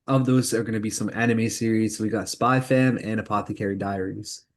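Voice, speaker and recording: A man's voice: lowish voice, fairly even intonation, brisk. A man co-hosting a podcast, leading a casual news roundup in informal speech. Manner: informal, leads the segments. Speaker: podcast co-host. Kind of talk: casual news roundup chat about anime.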